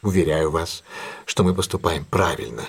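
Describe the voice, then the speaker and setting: Husky, commanding detective, swooping delivery. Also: male voice, a bass voice, animated, heavily acted. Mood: assured, courteous. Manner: brisk, imperious, theatrical. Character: commanding, shrewd, self-assured male detective plotting a trap. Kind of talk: audio drama acting.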